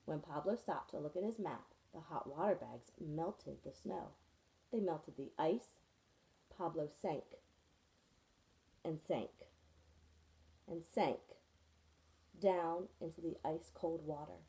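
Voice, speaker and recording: Mellow-voiced woman reading a picture book. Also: low voice, slow, vocal fry, long pauses, poor audio. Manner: warm, expressive storytelling with friendly asides. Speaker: teacher reading to her students. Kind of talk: children's picture book read-aloud.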